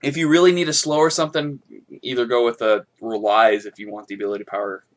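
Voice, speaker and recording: Regular guy voice, middle pitch, rambling along casually on a gaming podcast. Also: fairly even intonation, quick pace. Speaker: podcast co-host.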